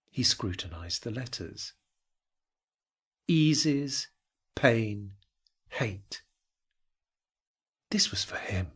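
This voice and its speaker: A man narrating a scripted audio drama with intense, dramatic, deliberate phrasing. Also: medium pitch, breathy edge.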